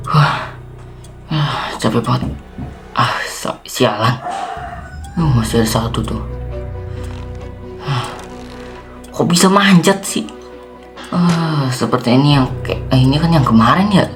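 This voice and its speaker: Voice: mid-range voice, slow, pauses often. A man playing a weary, jumpy zombie apocalypse survivor in a Minecraft roleplay, muttering and exclaiming to himself in casual slang. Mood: panicked, straining. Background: background music.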